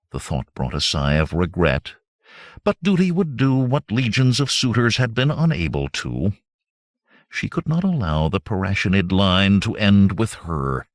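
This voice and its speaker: A man reading adventure fiction aloud as an audiobook narrator, in measured, expressive storytelling. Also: low voice, no echo, studio quality.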